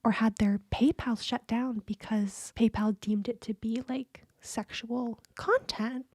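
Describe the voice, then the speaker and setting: Woman podcast host whispering asmr style, highish soft breathy voice, really dry close sound. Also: fairly even intonation, unhurried, no room sound. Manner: whispering and speaking softly.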